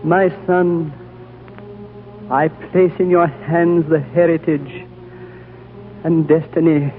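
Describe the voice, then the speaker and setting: An actor playing an ancient, wise high lama in a 1930s radio drama, speaking slowly and gravely on a thin, old broadcast recording. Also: male voice, level tone. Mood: solemn. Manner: slow, grave, prophetic. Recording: old phone-like radio broadcast, faint music.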